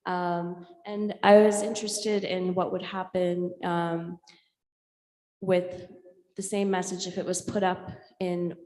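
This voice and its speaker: A woman from India, a native Urdu speaker, giving an informal artist talk in a reflective, hesitant way with frequent pauses and ums. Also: on the low side, level tone, warm tone. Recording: echoey hall with long reverb.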